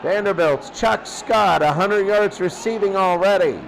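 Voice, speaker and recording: A man doing excitable play-by-play commentary of a football game, repeating phrases and drawing out his calls. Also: sits high, unhurried, gravelly, noisy background. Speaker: sports commentator.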